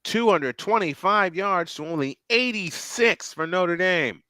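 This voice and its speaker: A man's voice: high voice, animated, unhurried, sharp tone, no room sound. A man calling a live football game play by play, with his voice rising sharply on big plays. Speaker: play-by-play commentator. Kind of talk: live game commentary on a fan stream.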